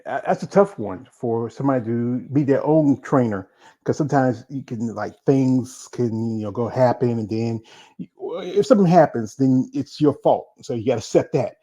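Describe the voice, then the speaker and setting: A man's voice: mid-range voice, normal speed, mellow. A man hosting an informal interview, chatting in a rambling way and reading out viewer comments. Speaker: interview host.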